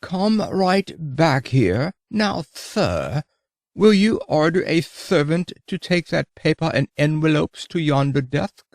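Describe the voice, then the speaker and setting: A man playing a foppish young millionaire dandy in an audiobook, pompous and peevish. He lisps and talks in a haughty mock-English way, with pitch swooping about. The sound is dry and close. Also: expressive, slow, creaky, no echo, exaggerated voice. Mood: haughty, indignant. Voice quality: lisping. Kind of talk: audiobook character voice in a humorous oratory.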